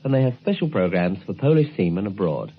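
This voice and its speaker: A man presenting a radio programme, giving measured, informative scripted narration. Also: deep voice, big pitch swings. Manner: measured, informative announcer delivery. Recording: tinny narrow-band 1970s shortwave broadcast recording.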